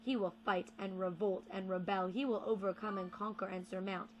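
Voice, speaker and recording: A young woman performing spoken word poetry with deliberate, rhythmic phrasing and repeated words. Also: mid-range voice, little pitch movement, slight background noise. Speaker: slam poet.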